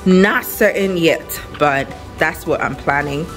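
Low-voiced young woman vlogging, music behind. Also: takes it slowly. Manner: excited, upbeat. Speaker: vlogger and small business owner. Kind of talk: casual vlog chat to viewers. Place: outdoor storefront and bare empty shop room.

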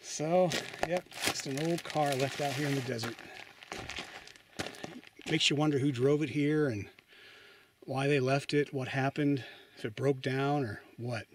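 A man's voice: mid-range voice, a little slow, pauses often, background noise. Man musing aloud while exploring. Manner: musing, hesitant, thinking aloud. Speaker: explorer vlogger. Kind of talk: casual walkthrough narration. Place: inside an abandoned mine and outdoors in desert.

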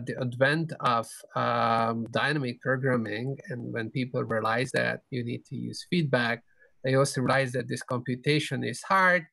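A middle-aged man giving an explanatory conference lecture over a video call, pausing often mid-sentence. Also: mid-range voice, vocal fry, fairly bright tone, dry recording. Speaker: keynote speaker, researcher. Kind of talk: academic conference lecture with slides. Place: indoor room, video call.

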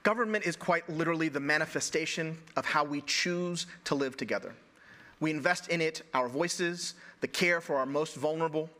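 Male conference presenter, slightly high, bright voice, measured formal scripted delivery. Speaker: presenter introducing speakers. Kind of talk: scripted stage introduction. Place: conference stage in an auditorium. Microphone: podium.

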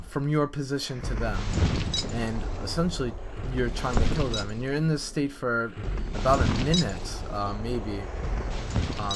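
A man's voice: mid-range voice, very noisy. A man giving casual, hesitant gameplay commentary in a rambling, self-deprecating way, as the developer of a game map. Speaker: map developer narrating a dev vlog.

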